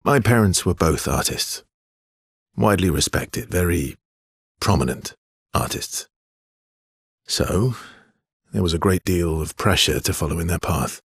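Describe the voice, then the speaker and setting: An actor playing a guarded, wry survivor of an expedition in a video game, with an understated, slightly hesitant delivery and a husky, gravelly low voice. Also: male voice, expressive, measured pace, long pauses, no room sound, studio quality, exaggerated voice. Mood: guarded, reflective. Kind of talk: video game character dialogue.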